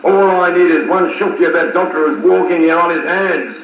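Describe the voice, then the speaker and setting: An actor playing an agitated, hot-headed soldier in a 1950s Australian radio serial, speaking bluntly and urgently with an Australian accent. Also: male voice, high voice, fairly even intonation, moderate pace. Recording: echoey, muffled, noisy 1950s wire recording.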